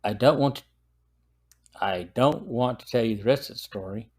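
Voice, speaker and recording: A man's voice: medium pitch, moderate pace, darkish tone. A man hosting a podcast, reading a semi-scripted review in a plain, earnest, informative manner. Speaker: podcast host.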